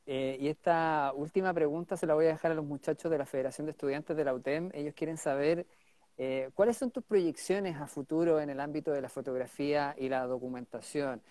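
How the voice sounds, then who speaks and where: A man's voice: on the high side, a little fast, mellow, no echo. Male host in his forties, supportive, hesitant "eh" fillers, dry close earbud-mic sound. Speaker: interview host. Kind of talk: hosting a live-stream interview. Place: indoor office room. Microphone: wired earbuds mic on a video call.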